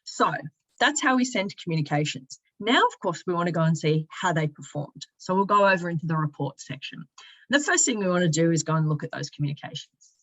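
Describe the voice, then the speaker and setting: A woman giving a conversational software walkthrough as a company presenter, explaining each step informally. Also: fairly low pitch, animated, fairly quick, dry recording, muffled recording. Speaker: sales and marketing director giving a product demonstration.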